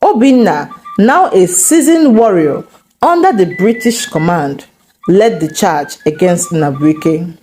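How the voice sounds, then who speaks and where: A woman storyteller narrating a scripted folktale in a measured, dramatic way, breaking it into short phrases. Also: lowish voice, sing-song, dark tone, rough recording. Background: background music.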